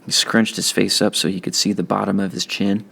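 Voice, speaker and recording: A man's voice: sits low, flat delivery, a little fast, gravelly, sharp tone, breathy edge. A man reading a first-person novel aloud as a teacher would, in audiobook narration.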